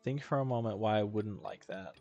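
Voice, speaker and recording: A woman's voice: very deep voice, a little fast, lo-fi sound, music in the background. A woman giving casual gameplay commentary as a streamer, with offhand asides reacting to the game.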